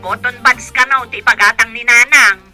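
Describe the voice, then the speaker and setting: A woman with an Ilocano accent playing a lively, plain-spoken grown daughter and elder sister in a radio comedy drama. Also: fairly high pitch, moderate pace, music in the background, lightly acted. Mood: insistent. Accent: Ilocano, northern Philippines.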